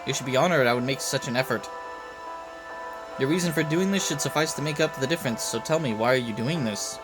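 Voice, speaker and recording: A man's voice: mid-range voice, fast talker, over music, natural voice. A man voicing an arrogant, perceptive king from a game, with pompous, formal speech. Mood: lordly.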